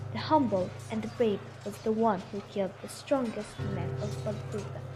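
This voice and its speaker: A woman giving scripted storytelling narration for an amateur radio drama. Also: medium pitch. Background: music.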